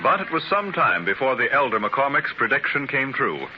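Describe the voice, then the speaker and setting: A man's voice: medium pitch, big pitch swings, normal speed, slight background noise, natural voice. A man narrating a 1930s radio drama in an earnest, storytelling style. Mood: solemn. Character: earnest male narrator of an industrial biography drama. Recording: dull 1930s radio transcription, music behind.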